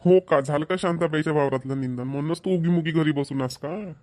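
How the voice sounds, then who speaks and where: A man's voice: on the high side, fast, darkish tone, lightly acted. A man voicing a grumbling middle-aged village husband in a cartoon, sarcastic with muttered asides. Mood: wry. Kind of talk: cartoon voice acting.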